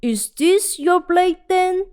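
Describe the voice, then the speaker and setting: A kindly old fairy godfather from a children's tale, as a woman narrator puts him on. He is gentle and measured, speaking slowly in a high voice. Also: lively intonation, full character voice. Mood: questioning.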